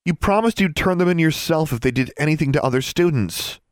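A man's voice: a little high, lively intonation, brisk, no echo, clean studio sound, voice pushed up, natural voice. A man voicing a defiant, hot-tempered schoolgirl in an audiobook, snappy and confrontational. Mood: accusing.

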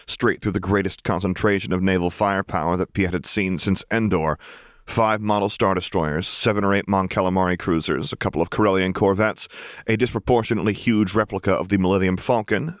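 A man's voice: sits low, no room sound, clean studio sound. A man reading a scripted story as an audiobook narrator.

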